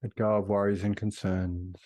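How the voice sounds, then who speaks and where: A man leading a guided meditation in a soothing, unhurried way with long pauses between phrases. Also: fairly low pitch, flat delivery, darkish tone, no room sound.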